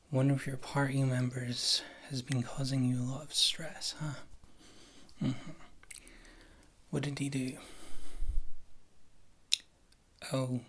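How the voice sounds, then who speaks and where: A performer playing a wry, condescending witch healer in a whispered ASMR fantasy roleplay, with a soft, breathy voice and a halting delivery. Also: medium pitch. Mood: curious, dry. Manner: slow, halting, dryly disdainful.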